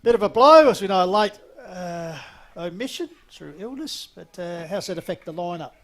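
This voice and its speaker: A man's voice: high-pitched, animated, moderate pace. A man with an Australian accent commentating local football and interviewing guests in a chatty, enthusiastic way. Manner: chatty, enthusiastic, many fillers. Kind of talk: local radio-style footy commentary.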